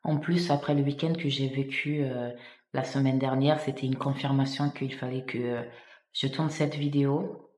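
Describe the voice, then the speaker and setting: A woman's voice: extremely low pitch, steady tone, moderate pace, darkish tone, echoey room. A woman from Italy sharing her personal faith testimony in a vlog, earnest and hesitant, with many fillers and restarts.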